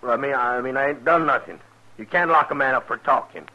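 Husky, shifty frontier troublemaker. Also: male voice, mid-range voice, fast talker, sharp tone. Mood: defensive, agitated. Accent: American. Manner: blustering, uneducated rustic grammar. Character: shifty, defensive cowtown man under suspicion. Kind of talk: 1950s western radio acting. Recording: muffled old radio recording.

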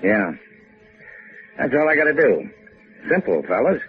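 An American actor playing a cool, wisecracking adventurer in a 1950s radio drama, with a sardonic, slangy delivery. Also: male voice, medium pitch, very animated, unhurried, soft background music. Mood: casual scheming. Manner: sardonic, casual drawl with tough-guy slang. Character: wry, cool, wisecracking boat-owning hotel keeper adventurer. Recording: muffled, phone-like old radio recording.

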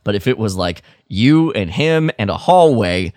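A man's voice: on the low side, animated, normal speed, darkish tone, no room sound, clean studio sound. A man co-hosting a podcast in casual fan discussion, explaining things with plenty of "like". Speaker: podcast co-host.

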